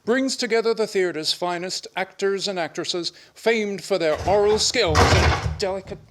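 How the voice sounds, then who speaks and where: A man acts a formal radio announcer with a high-pitched voice. He delivers scripted, promotional introductions for a sponsored play. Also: measured pace, fairly bright tone. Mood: grandly promotional.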